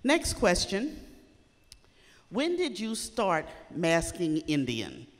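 An older woman moderating a panel, asking questions slowly and clearly and gently prompting her guests. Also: fairly low pitch, wildly swooping pitch. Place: indoor community hall. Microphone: handheld mic. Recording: long echo in a hall.

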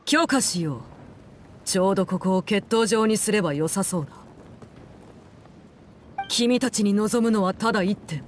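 A woman playing a cold, commanding parent and fighter in a Japanese video game, speaking in a measured, imperious, menacing way. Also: medium pitch, lively intonation, a little hiss or hum, close to an everyday voice. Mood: stern, composed. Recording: loud game music over the voice. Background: loud music.

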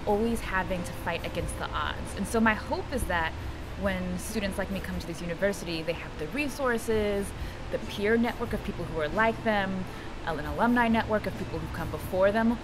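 A young woman, a graduate student, speaking in a measured, earnest way in a documentary interview in a bright room. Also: medium pitch, music in the background, rough recording. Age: young adult.

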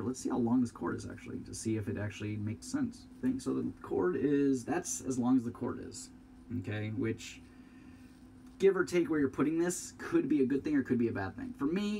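A man's voice: medium pitch, a little mellow, slight background noise. A young man with a congested, sniffly voice rambles casually through an unboxing review at home, thinking aloud and swearing now and then. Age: young adult. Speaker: product reviewer. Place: small room at home, indoors.

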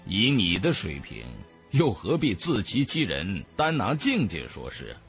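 A man voicing a calm, proud young martial-arts hero in an audiobook, cool, disdainful and defiant. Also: fairly low pitch, unhurried, slightly rough, faint music behind, pitched below the performer's own voice, exaggerated voice. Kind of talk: audiobook character acting.

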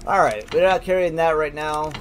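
A man's voice: a little high, normal speed, a little hiss or hum. A man giving casual, informal gameplay commentary as a let's play host, thinking aloud and reacting as he goes.

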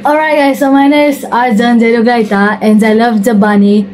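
A woman's voice: a little high, fairly even intonation, moderate pace, darkish tone, faint music behind. A young woman vlogging in a casual, joking way, talking to a handheld phone in a small indoor salon. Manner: chatty, joking, repeats filler words. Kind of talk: casual vlog chat to camera. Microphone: phone held at arm's length.

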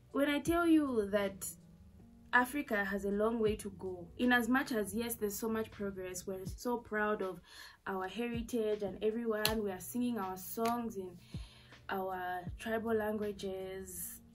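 A woman's voice: mid-range voice, rough recording. A young woman with a Zambian accent, telling a personal story to camera in a casual, candid way, full of fillers and asides, in a small bedroom. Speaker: vlogger. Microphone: camera in front at desk distance.

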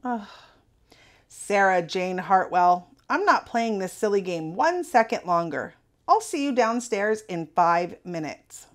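A woman's voice: medium pitch, animated, slow pace. A woman voicing a cheerful, patient but exasperated husband with a sing-song coaxing manner in a storybook. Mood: firm.

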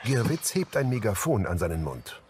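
A man's voice: on the low side, sing-song, moderate pace, heavy background noise. A man reading scripted present-tense audio description of scenes as a narrator.

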